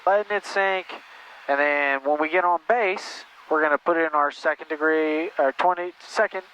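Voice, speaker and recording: A man's voice: on the high side, moderate pace, clean tone. A man working as a flight instructor, giving patient, encouraging step-by-step coaching and repeating his instructions over a cockpit headset. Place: small airplane cockpit in flight. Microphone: aviation headset. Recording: muffled intercom audio, steady engine noise.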